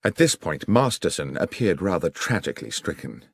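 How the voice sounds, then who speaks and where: A man's voice: sits low, very animated, normal speed, husky, no room sound. A man with a British accent reading a scripted story as an audiobook narrator. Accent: British English.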